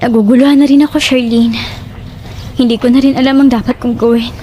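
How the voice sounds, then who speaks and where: Woman playing a high-voiced, concerned young friend. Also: steady tone, brisk, dark tone, natural voice. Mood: worried, hurried. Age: young adult. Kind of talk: Tagalog horror audio drama acting. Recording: noticeable background noise.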